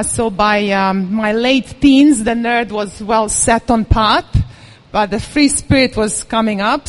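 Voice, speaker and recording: Woman, speaker at a live talk, candid and self-mocking, a bit nervous. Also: fairly high pitch. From Serbia. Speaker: event speaker. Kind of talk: personal story talk to an audience.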